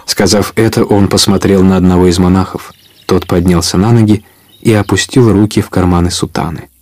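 A man's voice: low voice, steady tone, fairly quick, a little mellow, no echo. A man narrating a story for a Russian audio play in a scripted storytelling style.

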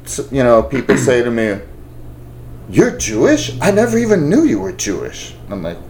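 A man's voice: mid-range voice, normal speed, slight background noise, rough recording. A man, chatting casually in a group talk, rambling with lots of fillers. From New York. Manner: rambling, animated storytelling with many fillers.

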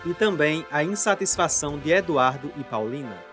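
Casting: male radio drama narrator, slightly high pitch, scripted delivery, music bed behind. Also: lively intonation, normal speed, a bit bright. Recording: music playing under the voice. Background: clear music.

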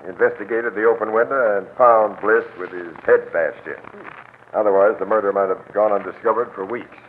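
Man acting gruff police captain, low pitch, dry official delivery, faintly hissy vintage radio drama. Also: fairly even intonation, fairly quick, natural voice. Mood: dry, businesslike. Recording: tinny, hissy old radio broadcast.

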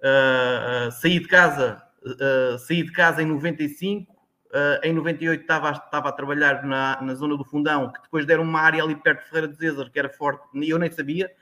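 Portuguese guy in his 40s rambling on, slightly high voice, lots of ums and restarts. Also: fairly even intonation, brisk, pushed and bright. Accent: European Portuguese. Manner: rambling, many restarts and fillers. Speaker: guest. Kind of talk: casual interview chat.

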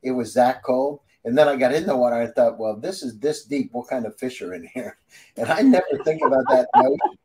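A man's voice: mid-range voice, a little fast, warm tone, poor audio. A man hosting an interview, chatty and fond of telling personal stories and asking friendly questions. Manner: chatty, storytelling, friendly asides. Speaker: interview host. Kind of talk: casual interview conversation.

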